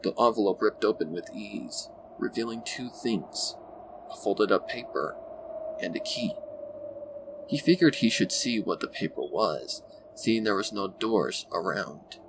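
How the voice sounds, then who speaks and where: A man reading a scripted dark adventure story as its narrator, in audiobook style. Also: on the low side, a little slow, creaky, noisy background. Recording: music bed behind the voice. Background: loud background music.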